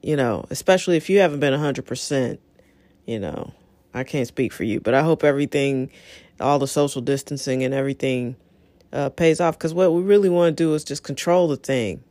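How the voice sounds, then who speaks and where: A woman's voice: a bass voice, fairly quick, warm tone, no echo. Casting: middle-aged female talk host, lightly scratchy, conversational and encouraging, recorded dry. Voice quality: a little scratchy. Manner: conversational, encouraging, rambling asides. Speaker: show host. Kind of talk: casual solo talk to listeners.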